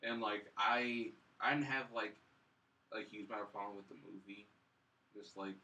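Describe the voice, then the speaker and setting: A man's voice: on the low side, steady tone, normal speed, bright, forceful tone, recorded from a distance, rough recording. A man chatting casually as a podcast panelist about films, rambling and leaning heavily on the word "like". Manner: rambling, heavy use of "like". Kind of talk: casual chat.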